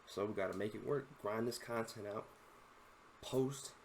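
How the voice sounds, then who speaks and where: A man's voice: on the low side, normal speed, creaky, a little hiss or hum. A 21-year-old man and content creator, giving casual, rambling reaction commentary full of slang and constant "bro.".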